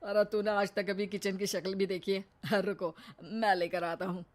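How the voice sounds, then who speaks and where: A woman's voice: mid-range voice, flat delivery, moderate pace, pitched below the performer's own voice, natural voice. A woman voicing a defensive, eager middle-aged husband and father in a cartoon moral story.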